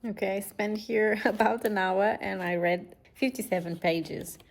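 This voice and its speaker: Late-20s woman vlogging, chatty and thoughtful, mid-pitched voice. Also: steady tone, measured pace, creaky, soft background music. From Romania. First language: Romanian. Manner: chatty and reflective, with light laughs. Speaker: lifestyle vlogger. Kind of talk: casual vlog narration.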